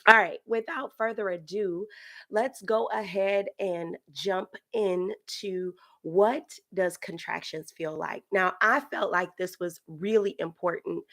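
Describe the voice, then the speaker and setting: A woman with a raspy voice from getting over a cold, giving a casual, chatty childbirth lesson with many fillers. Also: medium pitch, slow, a bit bright. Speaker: childbirth educator. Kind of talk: casual instructional podcast talk.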